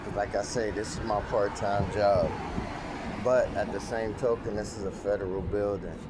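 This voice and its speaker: A man on the edge of fifty tells a story from his job in a relaxed, slangy way, circling back and repeating words. His voice sits a little low. Loud street traffic runs behind him the whole time. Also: moderate pace. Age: 40s. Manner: animated, repetitive, streetwise slang. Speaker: airport wheelchair attendant. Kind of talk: casual storytelling vent.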